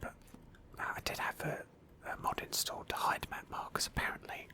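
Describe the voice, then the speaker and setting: A woman whispering gently as she narrates an ASMR roleplay of a video game in a storytelling manner. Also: moderate pace. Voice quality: breathy whisper. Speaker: host of a roleplay game playthrough.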